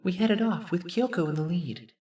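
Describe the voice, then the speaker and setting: A woman's voice: on the low side, expressive, moderate pace, mellow, recorded from a distance, natural voice. A woman voicing an earnest teenage schoolboy who narrates his story in an anime fan dub. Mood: earnest narration.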